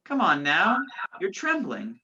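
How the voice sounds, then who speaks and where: A young woman playing a sceptical, gallant gentleman in an amateur radio play, with a theatrical, incredulous manner. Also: on the low side, wildly swooping pitch, takes it slowly, slightly rough, exaggerated voice. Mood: reassuring. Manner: incredulous, theatrical, old-fashioned phrasing. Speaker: student actor in a school radio play. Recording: dull lo-fi home recording.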